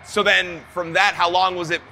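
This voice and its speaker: A man's voice: high voice, level tone, normal speed, sharp tone, slight background noise. A man interviewing a guest in person, asking curious questions and giving short prompts.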